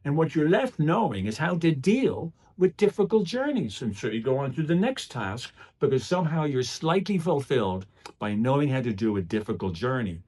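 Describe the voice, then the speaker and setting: A middle-aged man giving a motivational speech in a deliberate, emphatic way, telling stories as he goes. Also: mid-range voice, big pitch swings, darkish tone, breathy edge. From Ireland. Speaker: public speaker. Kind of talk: motivational club speech with storytelling.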